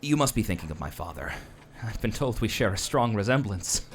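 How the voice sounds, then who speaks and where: Man as polite, jittery tavern keeper, animated pitch. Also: brisk, creaky, a little hiss or hum. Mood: flustered, evasive. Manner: courteous, nervous, stammering. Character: polite, flustered, evasive male tavern keeper. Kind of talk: fantasy audio drama acting.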